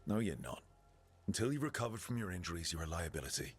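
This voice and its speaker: A man playing a steady, blunt team leader in a fantasy video game, speaking in a dry, direct way. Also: deep voice, quick pace, breathy edge, heavily acted. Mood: firm.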